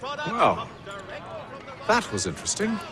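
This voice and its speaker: An actor playing a composed, commanding gentleman schemer in a video game, measured and formal, with an English accent. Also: sits high, wildly swooping pitch, crowd noise, full character voice. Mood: courteous. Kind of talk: video game cutscene acting.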